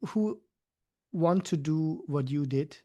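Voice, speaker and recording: A man hosting an interview, asking questions in a halting way and repeating words as he goes. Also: fairly high pitch, warm tone. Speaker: interview host. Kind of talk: casual interview.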